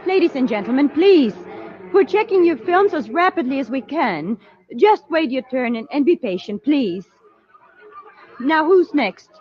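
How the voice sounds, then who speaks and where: An actress playing an efficient, polite woman security officer in an old-time radio space serial, crisp and courteous. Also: sits high, very animated, measured pace, some chatter behind. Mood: firm, harried. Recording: dull lo-fi old radio recording.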